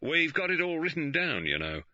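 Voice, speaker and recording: A man's voice: a little high, big pitch swings, bright, forceful tone, no echo, voice pushed up, exaggerated voice. Man doing a stolid police superintendent. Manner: stolid, measured, dryly insistent. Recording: dull, dry studio recording.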